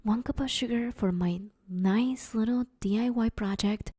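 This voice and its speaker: A woman's voice: on the high side, measured pace, mellow, slightly rough, no echo, studio quality. A woman playing a broke, sheepish but scheming villain in a conversational comedy audio roleplay, wheedling her neighbor in an awkward, hesitant way. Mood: pleading.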